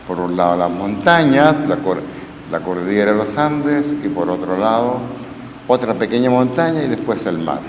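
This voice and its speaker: A Chilean man giving a parish lecture, speaking slowly and reading quotations with measured emphasis. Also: on the low side, lively intonation. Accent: Chilean Spanish. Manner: slow, measured, reads quotes with emphasis. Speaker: lay Carmelite speaker. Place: parish church. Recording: echoey church hall, faint noise.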